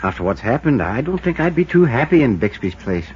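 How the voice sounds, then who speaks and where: Man acting a stuffy gentleman with swooping pitch. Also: sing-song, fairly quick, natural voice. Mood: shaken, formal. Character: stuffy, touchy gentleman cabin buyer, easily affronted. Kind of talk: 1950s radio acting. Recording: muffled, hissy 1950s radio recording.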